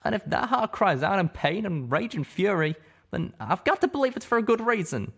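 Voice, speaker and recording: Guy voicing a folksy pegasus fella, earnest n protective, kinda higher voice, drawly, audiobook. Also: very animated, fairly quick, creaky, a bit bright, slightly rough, full character voice. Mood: heartfelt. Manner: folksy, ungrammatical drawling speech. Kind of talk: audiobook character voice.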